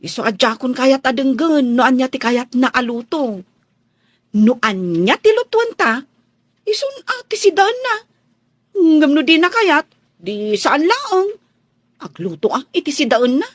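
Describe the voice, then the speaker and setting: A woman acting in a radio drama as a chatty, cheeky young housemaid with a playful, drawn-out way of talking. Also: high-pitched, sing-song, no room sound, full character voice. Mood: cheeky, insistent.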